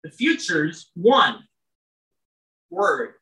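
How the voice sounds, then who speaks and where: A poet with a loud voice reading their own work at a live venue, chatty and wry between poems and slow and measured when reading. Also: medium pitch, big pitch swings. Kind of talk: live poetry reading with casual asides. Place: indoor venue with live audience. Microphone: stage microphone, often off-mic. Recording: roomy, distant live-venue sound.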